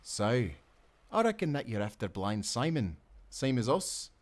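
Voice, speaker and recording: An actor playing a confident, loyal Scottish sailor who talks in jaunty nautical dialect in an audio adventure. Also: male voice, medium pitch, sing-song, measured pace. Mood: earnest, planning. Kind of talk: cinematic audio adventure acting.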